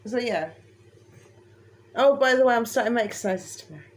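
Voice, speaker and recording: A woman's voice: on the high side, animated, a little fast, a little hiss or hum. Giggly, bouncy woman. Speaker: co-host. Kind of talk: casual chat.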